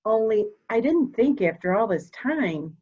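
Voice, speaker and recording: A woman's voice: a little high, big pitch swings, mellow, slightly rough, full character voice. Woman acting a cold, blunt old mother, muffled sound. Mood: composed, candid. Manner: formal, deliberate, blunt. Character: proud, cold old mother, candid and slightly deaf. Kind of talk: dramatic reading of a murder mystery.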